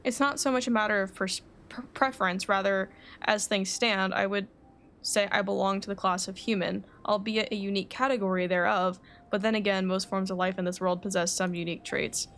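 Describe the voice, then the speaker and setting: A woman reading aloud the game lines of a composed, cerebral alchemist in a formal, measured manner. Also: medium pitch, fairly even intonation, fairly bright tone, natural voice. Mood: calm, reflective. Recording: faint background noise.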